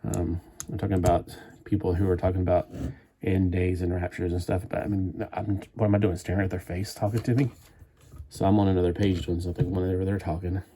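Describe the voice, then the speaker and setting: Low, dark-voiced man rambling while building. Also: flat delivery, brisk, slight background noise. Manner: meandering, chatty, thinks aloud with frequent restarts. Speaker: streamer building a toy kit live. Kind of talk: rambling live-stream chat while building.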